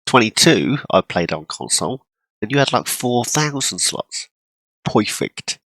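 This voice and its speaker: A man hosting a gaming channel, congested from a cold and clearing his throat often, rambling casually through his gameplay. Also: medium pitch, sing-song, measured pace, a bit bright, no echo, poor audio. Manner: rambling, self-deprecating, frequent throat clearing.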